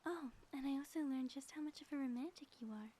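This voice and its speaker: A woman playing a flirtatious, affectionate ex-villain wife in an intimate ASMR audio roleplay, teasing and breathy, often dropping toward a whisper. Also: high voice, fast, dark tone, natural voice. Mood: fond, teasing. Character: playful, flirtatious ex-villain wife, theatrical yet sappy and affectionate. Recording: faint background noise.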